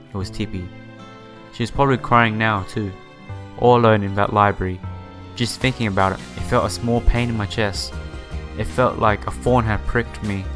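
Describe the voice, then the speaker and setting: A man hosting a let's play, reading a visual novel aloud with casual asides and stumbling now and then over the words. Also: fairly low pitch. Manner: stumbles over read words and repeats phrases.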